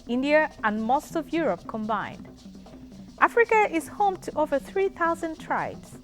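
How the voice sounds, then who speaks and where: A woman with a Ugandan accent presents in an educational style. Her voice sits on the high side and moves through a very animated range of pitch. She explains things in a measured, emphatic way, with faint music underneath. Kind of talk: educational narration.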